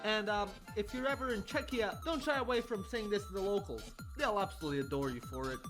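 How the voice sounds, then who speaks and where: A man's voice: high-pitched, quick pace. Wry male comedy narrator over music. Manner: wry, mock-scholarly, sarcastic. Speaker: video narrator.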